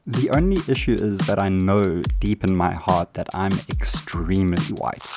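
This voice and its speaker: Male, South African accent deep, unhurried comic narrator, deadpan, music bed behind. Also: creaky. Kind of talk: comedic scripted narration.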